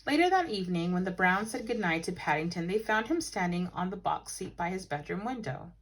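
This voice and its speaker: A woman teacher reads a storybook to children. Her delivery is expressive and animated, leaning into dramatic emphasis as the tale unfolds. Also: fairly low pitch, brisk, low-quality recording. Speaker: teacher reading a children's book aloud. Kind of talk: expressive storybook read-aloud.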